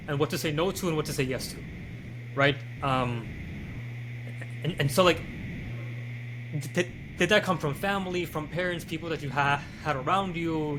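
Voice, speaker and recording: Guy hosting a podcast, slightly high voice, thinks out loud with lots of ums. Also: background noise. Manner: thoughtful, many restarts and fillers. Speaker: podcast host. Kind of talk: casual interview questions.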